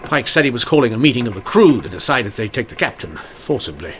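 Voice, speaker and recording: An actor playing a measured, dryly witty Victorian police inspector in a radio mystery drama. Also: male voice, mid-range voice, very animated, fairly bright tone, slight background noise. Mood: grave, urgent.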